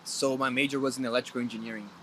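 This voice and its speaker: A man's voice: medium pitch, flat delivery, racing, fairly bright tone. Man, Brazilian accent, late 20s, earnest, hesitant restarts, faint background hiss. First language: Portuguese. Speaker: guest soccer player. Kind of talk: casual interview chat.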